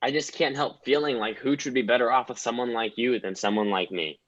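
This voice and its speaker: An actor playing an uptight, exasperated male police officer in a parody radio play, flustered and deadpan. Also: mid-range voice, brisk, lo-fi sound, lightly acted.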